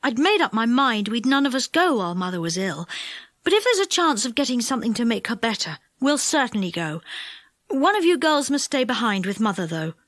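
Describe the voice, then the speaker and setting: A woman's voice: on the high side, sing-song, a little fast, fairly bright tone, no echo, clean studio sound. A woman voicing a decisive, responsible boy with a firm, matter-of-fact storybook manner. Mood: decided.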